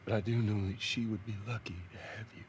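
A middle-aged man playing a gruff, weary but tender father figure in a video game, speaking hesitantly and with understatement. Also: on the low side, flat delivery, fast, noisy background, close to an everyday voice. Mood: earnest, tender. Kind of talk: naturalistic video game acting.

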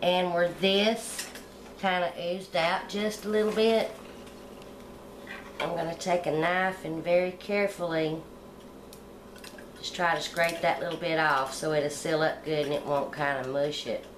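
A middle-aged woman hosting a cooking channel, explaining step by step how to decorate a sugar egg at home in a relaxed, homey way. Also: on the low side, unhurried, pauses often, roomy sound, slight background noise. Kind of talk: hands-on decorating tutorial.

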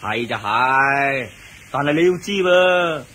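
Bright, cheerful young kitchen servant. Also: male voice, mid-range voice. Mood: chatty, explaining. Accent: Hong Kong Cantonese. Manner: animated, colloquial, drawn-out words. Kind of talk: Cantonese radio drama acting. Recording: noisy old radio drama recording.

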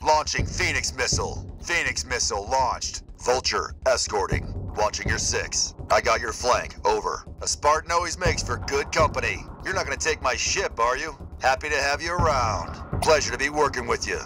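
An actor playing a brisk, confident military gunship pilot in a video game, speaking in clipped radio callouts. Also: male voice, mid-range voice, lively intonation, pushed and bright, soft background music, poor audio. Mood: businesslike, then cocky, easygoing. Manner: clipped, military, addressing superiors as sir.

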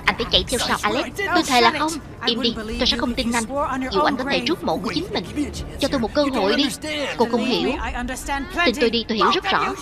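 A woman's voice: a little high, animated, fast talker. A woman reading scripted cartoon dialogue as a voice-over. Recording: loud music under the voice.

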